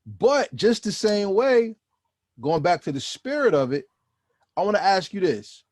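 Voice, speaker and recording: A man hosting a hip-hop interview, talking in an emphatic, reflective way with drawn-out phrases. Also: sits high, big pitch swings, darkish tone, no echo, studio quality. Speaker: interviewer host. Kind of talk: interview questions and commentary.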